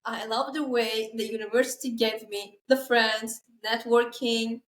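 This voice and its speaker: A young woman in her final year of university hosting a podcast, asking questions in halting, filler-heavy English with eager agreement. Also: on the high side, level tone. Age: young adult. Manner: enthusiastic, halting non-native English with many fillers. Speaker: podcast host. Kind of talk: casual interview chat.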